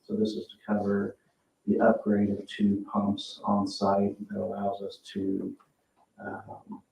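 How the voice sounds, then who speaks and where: Gravelly man reporting at an echoey meeting. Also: lowish voice, completely flat delivery, slow, dark tone. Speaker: town utility official presenting spending items to council. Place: indoor council meeting room. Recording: echoey, distant, dull meeting-room audio, faint noise.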